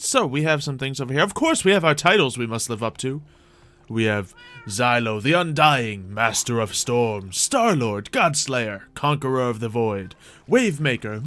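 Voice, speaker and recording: A man's voice: mid-range voice, fairly bright tone. A man doing live gaming stream commentary with playful roleplay, rambling along in a playful, mock-grandiose way. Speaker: game streamer.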